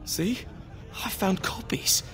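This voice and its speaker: A man's voice: slow pace, fairly bright tone, slight background noise. An actor voicing a terrified, paranoid male dwarf adventurer in a video game, talking in a frantic, raving way. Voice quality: frantic, panicked.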